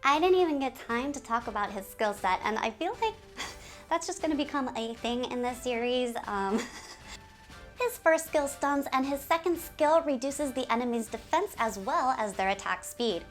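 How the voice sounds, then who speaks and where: A woman hosting a game community video series, speaking to viewers in an animated, giggly, presenter's way. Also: sits high, normal speed, faint music behind. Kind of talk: upbeat scripted video presenting with ad-libbed asides.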